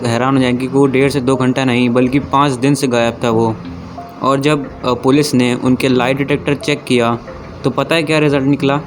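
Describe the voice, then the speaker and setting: Man narrating informally over music. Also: medium pitch. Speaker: YouTube narrator. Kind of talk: informal storytelling narration of alien abduction cases. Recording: music behind the voice. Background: background music.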